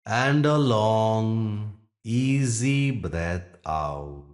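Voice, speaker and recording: A man with a deep voice leads a guided meditation as a yoga nidra teacher. He speaks very slowly, giving gentle instructions in short phrases. Long pauses separate his words. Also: expressive.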